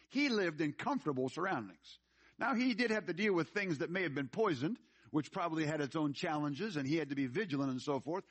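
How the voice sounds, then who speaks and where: A man's voice: high voice, a bit bright. A man preaching a sermon as a pastor to his congregation, emphatic and repeating phrases for effect, yet conversational, slipping into anecdotes and asides. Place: speaking before a live congregation.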